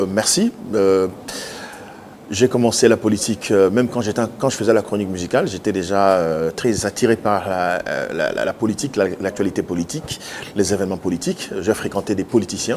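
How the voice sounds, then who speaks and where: A man. He answers interview questions earnestly, halting often with filler sounds and restarts. A faint noise sits under his speech. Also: on the low side, a little mellow. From Democratic Republic of the Congo. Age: 50s. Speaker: politician guest.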